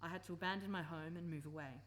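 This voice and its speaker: Actress as earnest, persuasive low-voiced campaigner woman. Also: fairly even intonation, fast talker, natural voice. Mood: earnest, sorrowful. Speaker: actor in a radio play. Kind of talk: live radio play acting.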